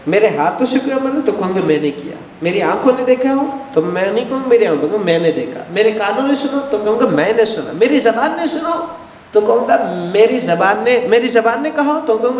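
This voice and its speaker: Middle-aged male cleric, high lively voice, headset mic, echoey hall. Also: a little hiss or hum. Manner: animated, gestures widely, acts out everyday dialogues. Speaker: Shia cleric lecturing. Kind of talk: religious lecture. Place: studio with a blue backdrop, indoors.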